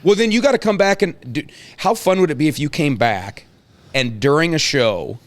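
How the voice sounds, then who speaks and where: A man's voice: fairly high pitch, animated, normal speed. A man hosting a casual talk show, chatting in a folksy way and prodding guests with questions. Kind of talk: casual chat, interviewing guests.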